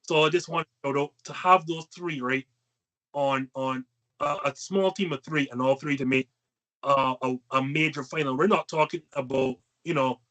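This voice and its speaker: A man's voice: mid-range voice, a bit bright. A man with a Barbadian accent hosting a casual sports panel and handing the floor to his guests. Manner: upbeat, hands the floor to guests. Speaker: host.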